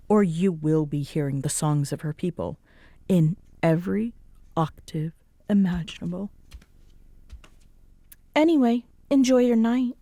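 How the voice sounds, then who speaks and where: A woman playing a prickly, guarded young roommate in an intimate roleplay audio drama, curt, halting and defensive in manner. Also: fairly low pitch, mellow, dry recording. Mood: grudging, teasing.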